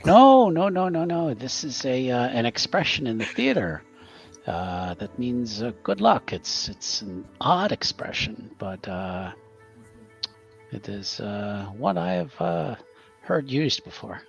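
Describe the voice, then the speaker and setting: A man voicing a polite, hesitant gentleman adventurer in a tabletop roleplaying game, speaking in a halting way. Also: medium pitch, sing-song, vocal fry, full character voice. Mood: earnest, halting. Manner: halting, courteous. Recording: muffled mic, music behind.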